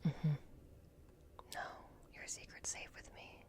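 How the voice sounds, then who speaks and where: Slow whispering woman in ASMR roleplay. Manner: hushed, deliberate, coaxing, with long pauses. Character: softly scheming robot repair technician with a secretive streak.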